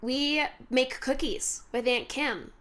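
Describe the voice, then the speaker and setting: A young woman co-hosting a podcast, telling family holiday stories in a relaxed, chatty way with plenty of fillers. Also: high voice, takes it slowly. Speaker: podcast co-host. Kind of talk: casual chat.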